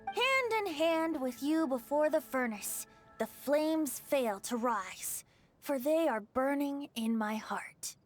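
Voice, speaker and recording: A woman's voice: high-pitched, animated, slow pace. A woman voicing a playful, cheeky teenage boy bard with a theatrical, teasing delivery in an anime-style game. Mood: romantic recital. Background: faint game music.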